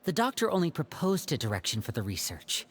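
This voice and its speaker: A man voicing a poised, persuasive young man in a video game, speaking in a calm, reasoned way. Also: on the high side, a little fast. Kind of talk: video game cutscene dialogue.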